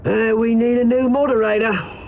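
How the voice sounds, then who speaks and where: An actor playing a mock-polite, gleefully menacing villain showman in a video game, grandiose and drawn-out. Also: extremely high pitch, little pitch movement, dull audio, background noise. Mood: mocking glee. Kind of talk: dramatic video game voice acting.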